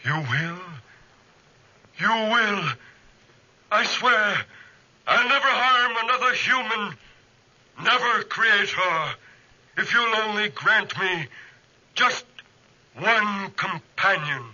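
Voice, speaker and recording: A lonely man-made monster in a dull, lo-fi 1940s radio drama. The voice is fairly high and very forceful. The delivery drags deliberately, theatrical, pleading and menacing. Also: animated, full character voice.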